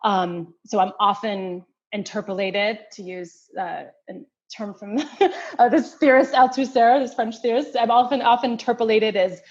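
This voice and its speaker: A middle-aged woman from the United States speaks as an interview guest, mid-pitched and thoughtful, often restarting sentences and reaching for words. The recording sounds dull and lo-fi. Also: a little mellow. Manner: thoughtful, many restarts and hedging fillers. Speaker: guest anthropologist. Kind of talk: casual interview answer.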